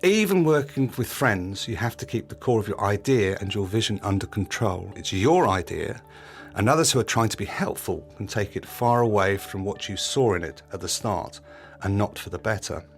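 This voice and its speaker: A man's voice: lowish voice, lively intonation, moderate pace. A man hosting a podcast, delivering a scripted, confiding monologue in a reflective, plain-spoken way. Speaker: podcast host.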